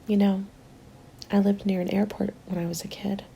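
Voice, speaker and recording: Woman acting a hushed, weary apocalypse survivor. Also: mid-range voice, little pitch movement, normal speed, gravelly, dull audio, a little hiss or hum, lightly acted. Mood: tender, reassuring. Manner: soft, hushed and intimate, often slipping into whispers. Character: weary, hopeful female zombie-apocalypse survivor writing a winter journal. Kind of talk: ASMR roleplay, scripted dramatic narration.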